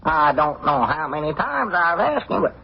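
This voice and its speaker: Older rustic storekeeper, rural Southern accent, very raspy, somewhat high voice, folksy rambling, 1940s radio comedy. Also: male voice, big pitch swings, moderate pace, exaggerated voice. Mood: mildly exasperated. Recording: dull, muffled 1940s radio recording.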